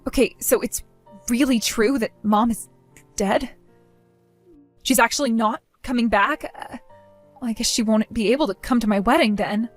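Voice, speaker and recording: A woman's voice: a little high, normal speed, pauses often, soft background music, lightly acted. A woman playing a self-centered young bride who whines and pleads with her sister, in a scripted drama. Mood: dazed.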